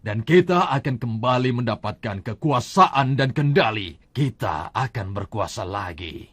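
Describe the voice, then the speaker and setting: Scheming chief, mid voice, dry recording. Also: male voice, medium pitch, lively intonation, a little slow, a bit bright, slightly rough, no echo. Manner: scheming and self-assured. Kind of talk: dubbed cartoon acting.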